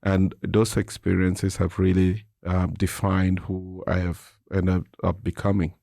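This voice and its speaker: Deep-voiced Cameroonian man, gravelly edge. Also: flat delivery, measured pace, dark tone, no echo, clean studio sound. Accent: Cameroonian English. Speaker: interview guest, nonprofit president and CEO. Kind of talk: reflective conversational interview answers.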